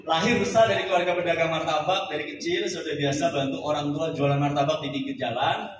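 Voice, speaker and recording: A man's voice: fairly high pitch, steady tone, fast. A man in his forties from Central Java, giving a jokey motivational seminar talk and leading the crowd in call-and-response. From Central Java, Indonesia. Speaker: seminar speaker, business coach. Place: large indoor hall. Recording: echoey hall recorded from far off.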